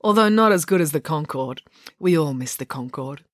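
A woman's voice: low voice, expressive, moderate pace, no echo, studio quality. A woman voicing a chatty, unflappable rich girl in a children's storybook, blithe, precise and matter-of-fact. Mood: blithe, boastful.

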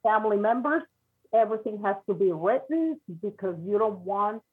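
Woman psychic, husky, halting and reassuring, heard through a thin phone line. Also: medium pitch, expressive. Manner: halting, repeats words, reassuring. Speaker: psychic reader. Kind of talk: spiritual reading.

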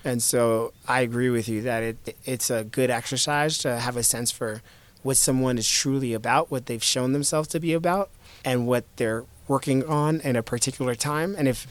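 A man in his thirties from the United States, an investor guest talking reflectively in an interview, in a measured way with repeated starts. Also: medium pitch. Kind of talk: conversational interview.

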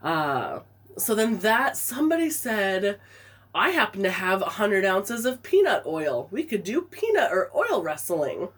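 A woman's voice: mid-range voice, unhurried, husky. A woman co-hosting a podcast, telling a story in casual chat with lots of laughter while fighting a stuffy head cold. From Pacific Northwest. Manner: animated storytelling, laughing often. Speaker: podcast co-host.

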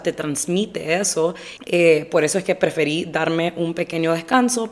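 A young woman hosting her own podcast in casual, direct chat, a little hoarse with a stuffy nose, slipping English phrases into her talk. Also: fairly low pitch. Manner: direct, chatty, mixes English phrases into Spanish. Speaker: podcast host.

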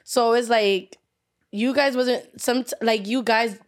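A woman's voice: a little high, fairly even intonation, slow pace. A woman hosting a podcast in casual chat, blunt and outspoken. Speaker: podcast host.

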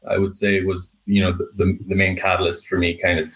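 A man's voice: low-pitched, level tone, a little fast, fairly bright tone, slight background noise. A young man with an Irish accent, a business owner answering interview questions in a reflective way, with many fillers and restarts. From Ireland. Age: young adult. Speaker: guest, painting business owner. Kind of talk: podcast interview.

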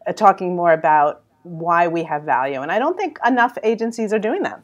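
A woman's voice: lowish voice, expressive, brisk, dull audio. A woman with a New York accent, a creative business consultant speaking as a podcast guest in a direct, emphatic way. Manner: straight-talking, emphatic. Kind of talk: interview conversation.

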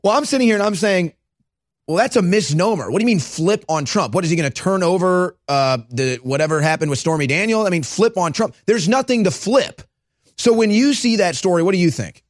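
A man hosting a political talk show, hoarse and raspy today, forceful and salesy in his pitches. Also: expressive, a little fast, dry recording, clean studio sound. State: hoarse from shouting.

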